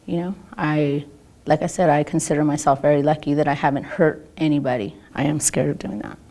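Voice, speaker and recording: A middle-aged American woman answering an interview in an informal, halting, conversational way. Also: low-pitched, steady tone, dark tone. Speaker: news interviewee.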